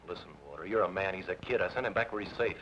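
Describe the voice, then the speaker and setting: An actor playing a smooth, guarded businessman who fixes deals for a bandit chief, speaking in a measured, self-justifying way in old film drama style. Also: low-pitched, fairly bright tone. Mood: defensive, insistent. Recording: dull, noisy old film soundtrack.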